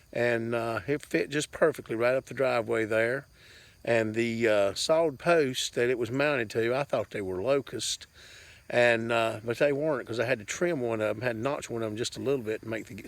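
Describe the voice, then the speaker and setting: A man's voice: medium pitch, vocal fry. A man gives a casual, rambling update to viewers in a folksy, plainspoken way, speaking outdoors into a handheld camera. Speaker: farm vlogger. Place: outdoors on a farm.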